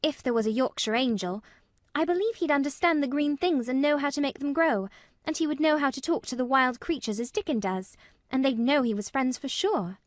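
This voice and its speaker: A woman acts out a young storybook girl with a high voice. She is blunt, solemn and earnest, speaking emphatically and plainly in a dry, close sound. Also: brisk, no room sound, lightly acted. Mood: frank. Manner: frank, matter-of-fact, emphatic. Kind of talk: acted storybook dialogue.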